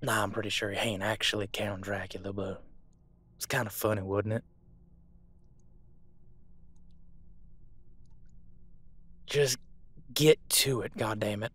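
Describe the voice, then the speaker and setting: A man playing a gruff, scornful veteran monster hunter in an audio roleplay, folksy and bossy. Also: lowish voice, fairly even intonation, normal speed, fairly bright tone, no echo. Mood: impatient.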